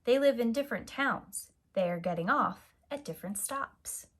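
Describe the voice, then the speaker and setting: A woman reading a children's picture book aloud as a teacher, in a deliberate, animated way for young listeners. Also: fairly high pitch, a little hiss or hum.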